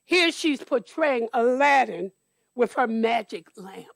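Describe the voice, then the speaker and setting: A woman storyteller reading aloud to children at church, deliberately, with explanatory asides. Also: on the high side, lively intonation, vocal fry, dry recording, studio quality. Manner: deliberate, explains details to young listeners.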